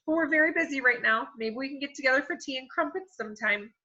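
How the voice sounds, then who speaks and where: Lady doing a creepy voice-stealing teacher guy, fake-polite, bright kinda high voice, muffled lo-fi sound. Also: fast talker. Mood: charming. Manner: smooth and falsely polite. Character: sinister male teacher who steals voices. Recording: dull lo-fi recording.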